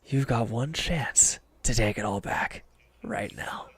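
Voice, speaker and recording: A man playing a wounded, proud villain in an intimate audio drama, sullen and guarded, curt and halting in a breathy murmur. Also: mid-range voice, no room sound. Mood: scornful, menacing.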